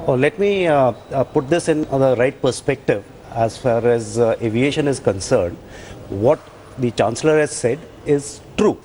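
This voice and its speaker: A man's voice: mid-range voice, unhurried, darkish tone, a little hiss or hum. An older man with an Indian accent giving careful, qualified expert answers in an interview, repeating points for emphasis. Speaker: retired air force officer, expert guest.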